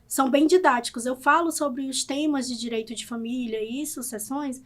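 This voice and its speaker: High-pitched woman, Cearense accent, lawyer guest, expressive and playful. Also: moderate pace. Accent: Cearense Brazilian Portuguese. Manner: expressive storyteller, playful and humorous. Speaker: family lawyer, podcast guest. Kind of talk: casual interview conversation.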